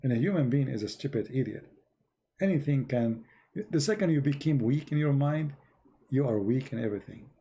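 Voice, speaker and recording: Gravelly-voiced blunt man preaching. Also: medium pitch, lively intonation, fairly quick, warm tone. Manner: blunt, emphatic, repeats words, non-native grammar. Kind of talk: live-streamed religious talk.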